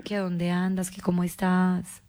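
A woman's voice: on the low side, monotone, unhurried, dark tone, no room sound. A woman from Colombia with a Paisa accent, chatting casually on a phone call in a coaxing, playfully evasive, insistent way. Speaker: phone caller.

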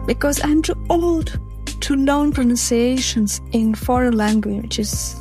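A woman whose first language is not English, sharing a personal story slowly and earnestly. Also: fairly high pitch, mellow, faint music behind. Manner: slow, careful, earnest.

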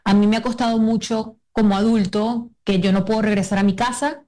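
A woman's voice: medium pitch, fairly even intonation, fairly quick, darkish tone. A woman in her thirties from Venezuela, answering interview questions earnestly, often restarting and hesitating.

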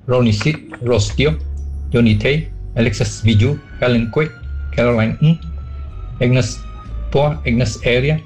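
A man from Sarawak sends a voice note to a radio show, reading a long list of names in a steady, measured way. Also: mid-range voice, faint music behind, poor audio. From Sarawak, Malaysia. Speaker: listener sending a voice note.

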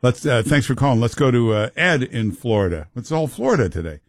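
A man's voice: medium pitch, sing-song, brisk, dry recording, clean studio sound. A male talk radio host who jokes with callers and his co-host in wry, teasing banter. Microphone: studio mic.

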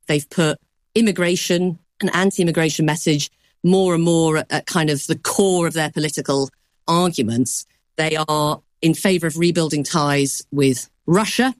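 A woman news correspondent giving a measured explanation on a news program, softening points with qualifiers. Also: low voice, dry recording, clean studio sound.

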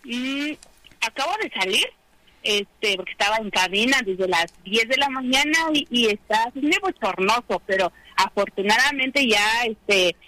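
A woman from a Triqui-speaking town in Oaxaca, speaking Triqui as her first language, chats casually over a dry radio link. Her voice is bright and crisp, and she fills her talk with relaxed fillers. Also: a little high, measured pace, no room sound, poor audio. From San Andrés Chicahuaxtla, Oaxaca. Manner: chatty, casual, many fillers. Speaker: radio correspondent.

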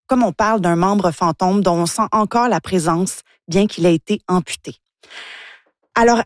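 A woman, a radio columnist recommending a book in a measured, informative way. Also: mid-range voice, no echo, clean studio sound. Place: radio studio.

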